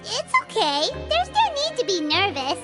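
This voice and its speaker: A woman voicing a tiny floating female companion creature who is chatty and excitable, with a high squeaky voice and a habit of speaking of herself in the third person. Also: moderate pace, exaggerated voice. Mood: reassuring. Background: game music.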